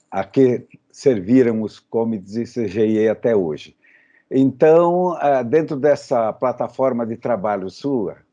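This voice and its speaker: A man working as an interviewer, putting his questions haltingly with pauses in mid-sentence. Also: medium pitch, warm tone, dull audio. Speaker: interviewer for a magazine.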